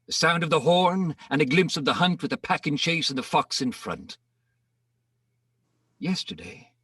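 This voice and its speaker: A man reads rhymed verse theatrically, with deliberate pauses. His husky voice rises and falls dramatically. The sound is roomy and set back from the microphone. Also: medium pitch, a bit bright, studio quality. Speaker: poetry reader. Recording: roomy echo, distant microphone.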